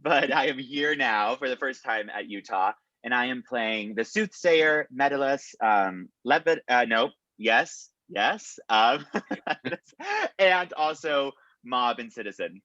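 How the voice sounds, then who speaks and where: A man's voice: medium pitch, expressive, slow pace, pushed and bright, no room sound. A man from south Texas, an actor, casually introducing himself over a video call. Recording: dull, dry video-call audio.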